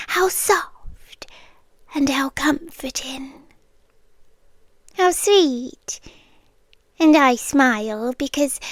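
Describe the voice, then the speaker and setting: A woman voicing a sweetly menacing hive queen in an ASMR roleplay, talking softly and drifting in and out of whispers. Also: sits high, no echo. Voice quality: soft, slow, drifting between whisper and voice. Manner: coaxing, intimate, quietly threatening.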